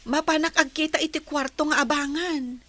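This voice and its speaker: Young female student, acted by a woman, very high voice, polite, earnest, audio drama daughter. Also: fairly even intonation, moderate pace, sharp tone. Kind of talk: dialogue in an amateur audio drama.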